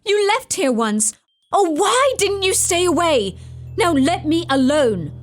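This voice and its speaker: A woman playing a willful, volatile young gentlewoman in an impassioned, theatrical period audio drama. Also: extremely high pitch, sing-song, takes it slowly, faint music behind, exaggerated voice. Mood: haughty, scornful. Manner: impassioned, theatrical, swinging from tender to imperious.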